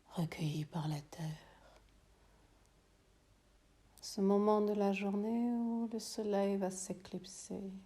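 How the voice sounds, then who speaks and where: A woman teaching yoga nidra, guiding a meditation in a soothing, hushed, breathy delivery that often drops to a near whisper, with long pauses. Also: medium pitch. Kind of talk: guided meditation.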